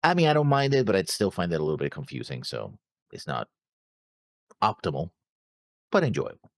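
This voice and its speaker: A man co-hosting a reaction show, chatting and reading comments casually, hesitant and halting, often repeating his words. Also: sits low, sing-song, gravelly, no echo. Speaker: co-host of anime reaction show.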